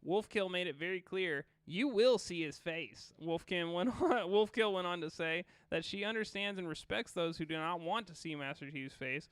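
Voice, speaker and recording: A young man with a high, bright voice co-hosts a gaming podcast in a relaxed, profane way. The sound is crisp and smooth, with a dry close recording. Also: moderate pace, hardly any pauses, no room sound. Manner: laid-back, swears casually. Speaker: podcast co-host. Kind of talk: casual chat, reading a news article.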